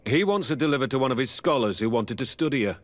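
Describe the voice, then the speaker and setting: A stern military captain played by a man speaks curtly and formally, with deliberate weight. His pitch is average for a man, and the delivery is that of a fantasy video game officer. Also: animated, lightly acted. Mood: dismissive. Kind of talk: video game dialogue acting.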